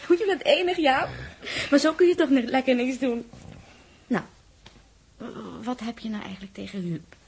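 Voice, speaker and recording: A woman's voice: high-pitched, expressive, moderate pace, pauses often, a little mellow, a little hiss or hum. A woman with a Netherlands Dutch accent playing a coy, probing young crew member in a 1960s radio drama, with hesitant asides and pointed questions. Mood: coy, teasing. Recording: muffled 1960s radio recording.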